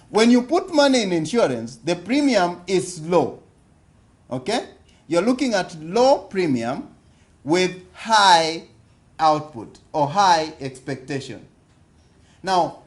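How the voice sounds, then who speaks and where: A Kenyan man with a fairly high voice addresses a room at a business forum. He speaks slowly and deliberately, putting questions to the audience and going back over his points. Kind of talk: interactive seminar talk. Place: conference hall.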